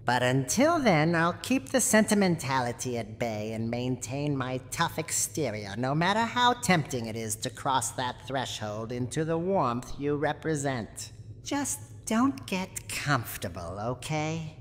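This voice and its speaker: A woman's voice: rumbling low, sing-song, exaggerated voice. A woman voicing a tough, guarded granny who is secretly lonely and tender, in a wistful, teasing roleplay ASMR monologue. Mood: teasing, challenging. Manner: wistful, teasing bravado, slow dramatic delivery. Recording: noisy, long reverberant tail.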